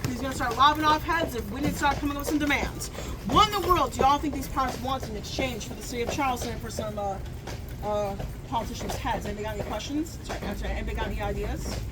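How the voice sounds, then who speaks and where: A woman from Michigan leading a ghost tour outdoors at night, telling stories to her group with relaxed, dry humor. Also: on the high side, fast, loud music behind the voice, low-quality recording. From Michigan, USA. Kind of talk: guided tour storytelling to a group. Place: outdoors on a city street at night. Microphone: audience phone recording the guide from a few steps away. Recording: raw phone footage with loud street noise.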